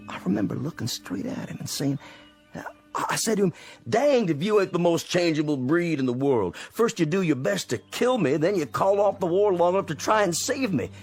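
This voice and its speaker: A decent, war-worn Confederate sergeant with a Southern drawl. His voice is gravelly and moves widely in pitch, earnest and halting. It is a radio play with faint music underneath. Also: lively intonation, a little mellow, exaggerated voice. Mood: urgent, pleading. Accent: American South. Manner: folksy, hesitant, earnest. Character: war-weary, decent wounded Confederate sergeant, conscience-driven and pleading. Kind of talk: dramatic radio play acting. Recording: studio radio drama over faint music.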